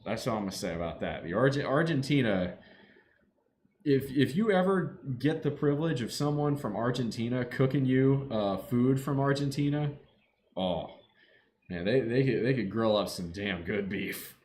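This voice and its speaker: A man chatting casually to his live stream from home, slipping into sudden excited yelling at a football game. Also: mid-range voice, normal speed, slight background noise. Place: home room.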